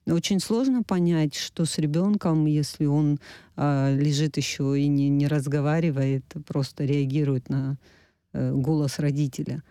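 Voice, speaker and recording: A woman speaking as a medical expert in an interview discussion, explaining in a halting, self-correcting way. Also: low voice, steady tone, warm tone, smooth, dry recording, studio quality.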